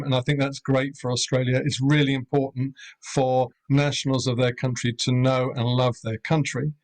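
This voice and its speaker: Hesitant man from Europe, measured talk. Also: medium pitch. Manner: measured, hesitant, many fillers. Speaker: rural accommodation owner. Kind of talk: webinar panel talk.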